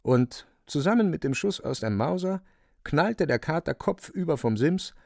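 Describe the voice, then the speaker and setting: A man narrating a literary radio drama in a measured, precise storytelling manner, close on a studio microphone. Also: fairly high pitch, animated, creaky, a little mellow, no echo.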